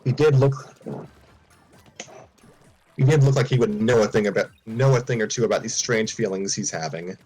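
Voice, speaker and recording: A man reads a fan story aloud in a casual way, often tripping over words and starting lines again. His voice sits low with a husky grain. Soft music plays faintly behind him. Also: moderate pace, long pauses, low-quality recording. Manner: frequent stumbles and restarts. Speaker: streamer reading fan fiction aloud.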